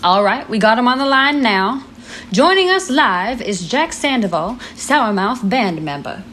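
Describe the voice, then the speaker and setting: An actress playing a composed radio host in an audio drama, announcing in a polished, dramatic broadcast style. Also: female voice, fairly high pitch, very animated, measured pace, a bit bright, a little hiss or hum. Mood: composed, intrigued. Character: composed female radio host presenting a crime exclusive. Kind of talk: scripted radio broadcast presenting. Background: music.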